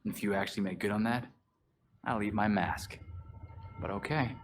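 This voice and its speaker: A man's voice: on the low side, moderate pace, a little hiss or hum, lightly acted. Wry masked male vigilante played by an actor. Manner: wry, conversational. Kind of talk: video game cutscene acting.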